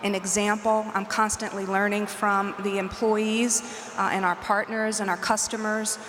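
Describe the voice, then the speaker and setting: Woman panelist, measured and earnest, flat even tone, roomy echoey hall. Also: medium pitch, a little hiss or hum. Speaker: panelist, corporate executive. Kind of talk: panel discussion. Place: large conference hall stage. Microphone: handheld mic. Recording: roomy hall reverb, faint ambience.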